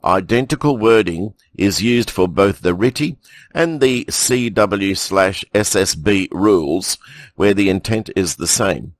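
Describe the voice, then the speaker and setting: A man reads a news item at a slow, unhurried pace, adding a few asides of his own. His voice sits fairly low. The recording is dry and close. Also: no echo. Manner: measured, reading with brief asides. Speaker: news presenter. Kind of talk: read news bulletin.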